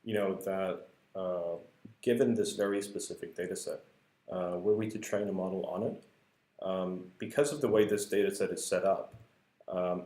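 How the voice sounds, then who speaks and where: A man's voice: sits low, a little mellow. A man explaining a research paper at a whiteboard in a casual, hesitant way, with many fillers and restarts. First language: not English. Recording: echoey room.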